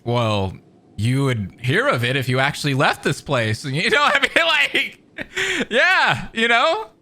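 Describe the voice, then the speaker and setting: A woman's voice: deep voice, very animated, takes it slowly, pushed and bright. A young woman doing casual, teasing, slangy live commentary as a gaming streamer reacting to a game.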